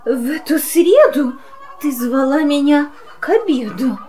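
A woman's voice: high voice, lively intonation, measured pace, a little mellow, faint music behind. A woman playing a genteel, homeless cat who pleads and coaxes theatrically in a children's verse audio play. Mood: pleading. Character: genteel, homeless female cat, plaintive and coaxing.

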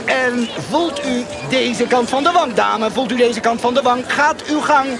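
An actor in a radio play as a grandiose, sly market quack, declaiming a sales pitch and repeating words for effect. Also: male voice, measured pace, vocal fry, music in the background, exaggerated voice. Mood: grandiose, coaxing. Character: fast-patter market quack hawking miracle stones, grandiose and sly. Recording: busy outdoor crowd behind.

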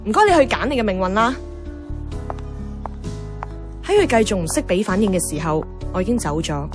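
Actress as lively, headstrong young Hong Kong woman. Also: mid-range voice, rapid-fire, lightly acted. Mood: reflective. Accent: Hong Kong Cantonese. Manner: teasing, blunt, expressive. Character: outspoken, headstrong young woman, a radio host chasing her dream. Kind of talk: radio drama dialogue and inner narration. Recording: music under the voice.

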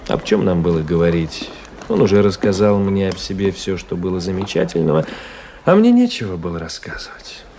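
An actor playing a cultured gentleman traveler, giving measured, literary narration and dialogue in a radio play. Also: sits low, very animated, background noise. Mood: wry, observant. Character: cultured young gentleman officer, traveling writer telling his story.

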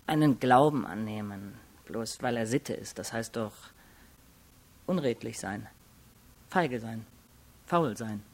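Casting: a condescending male student of nineteen, guarded, curt answers, mid-pitched voice, radio crime play. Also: lively intonation, slow, pauses often. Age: young adult. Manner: curt, self-assured, condescending. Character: guarded, haughty nineteen-year-old male law student. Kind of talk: radio crime drama acting.

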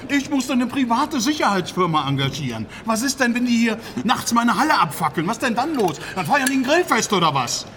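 An actor playing an aggrieved, defensive pig farmer who grumbles, blusters and swears, in a documentary-style radio play. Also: male voice, very high voice, very animated, fairly quick, few pauses, noisy background. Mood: angry, aggrieved. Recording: clear background ambience.

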